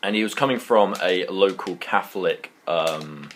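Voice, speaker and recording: Young British man with a low voice. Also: normal speed, a bit bright, slight background noise. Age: young adult. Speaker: YouTuber telling a story. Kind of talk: casual anecdote storytelling.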